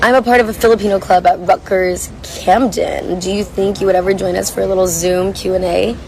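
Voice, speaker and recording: A young woman with a hoarse, worn voice chats casually while reading viewer comments on a livestream from a coffee shop. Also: medium pitch, fairly even intonation, fairly quick, crowd noise. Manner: chatty, rambling, reads comments aloud. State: hoarse, lost voice. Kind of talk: casual chat.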